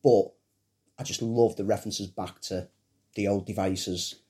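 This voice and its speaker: A man's voice: on the low side, fairly even intonation, a little slow, warm tone, breathy edge. A man co-hosting a film podcast, talking as an enthusiastic fan. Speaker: podcast co-host. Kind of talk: casual chat.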